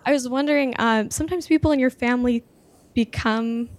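A woman's voice: on the high side, a little fast. A young adult woman in the audience, hesitantly asking a question with many fillers. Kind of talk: question from the floor.

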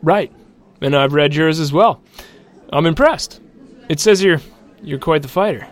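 A man playing a mech pilot and sole survivor, narrating his account in a measured first-person audio drama style. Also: a little high, natural voice. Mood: friendly banter.

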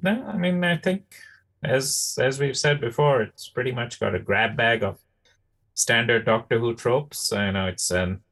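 A man on a casual review podcast, giving measured opinions in a halting way. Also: medium pitch, fairly bright tone. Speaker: podcast host. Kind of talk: casual panel review chat.

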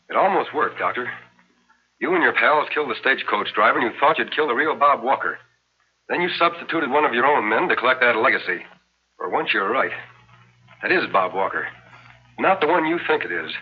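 An actor playing a steady, resolute western hero, with firm, dramatic, vintage broadcast delivery. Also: male voice, mid-range voice, brisk, long pauses, lightly acted. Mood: stern, commanding. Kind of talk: vintage western radio or television acting. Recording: dull lo-fi vintage broadcast clip.

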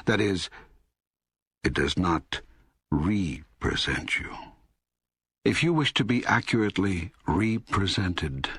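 An older man voicing God as a wise, patient teacher in an audiobook, raspy, measured and authoritative, with emphatic pauses. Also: fairly low pitch, a bit bright, dry recording, clean studio sound. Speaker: audiobook co-narrator. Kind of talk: scripted narration, spiritual dialogue.

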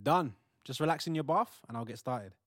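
A man's voice: medium pitch, expressive, fairly quick, fairly bright tone, no echo, studio quality, lightly acted. A man acting a devoted, devout young fiancé in a modern audio play, tender and reassuring.

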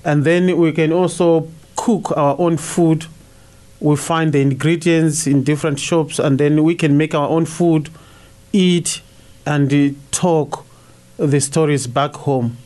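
A middle-aged priest from Zimbabwe whose first language is Shona speaks in a fairly high voice for a man. He answers in a thoughtful, halting way, full of uhs and restarts. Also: a little mellow. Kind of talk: radio interview answers.

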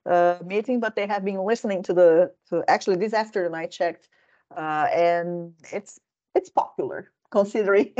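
A woman's voice: lowish voice, warm tone, muffled recording. A woman hosting a casual discussion, chatty and digressive, with many fillers, from Brazil. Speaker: host.

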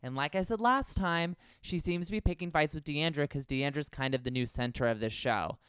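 A man's voice: a little high, brisk, a bit bright, smooth, no echo, clean studio sound. A man co-hosting a podcast, giving gossipy, analytical takes in casual chat. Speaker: podcast co-host. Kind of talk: casual chat about reality TV.

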